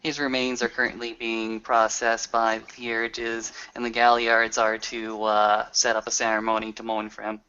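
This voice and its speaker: A man improvising in-character exposition in a tabletop roleplaying game, with a halting, hesitant delivery. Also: fairly low pitch, monotone, sharp tone, smooth. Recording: dull lo-fi audio.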